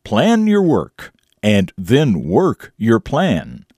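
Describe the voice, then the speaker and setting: Animated low-voiced man hosting a morning show. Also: drawn-out words, slightly rough, no echo, studio quality. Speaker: radio show and podcast host. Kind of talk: scripted morning show presenting, addressed to young listeners.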